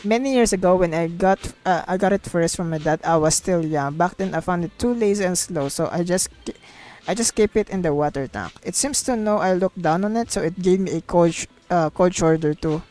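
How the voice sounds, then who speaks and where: A woman's voice: lowish voice, brisk, dark tone. A woman streaming a story game, casually reading the dialogue aloud and reacting with giggly, sweary exclamations. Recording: music playing behind the voice.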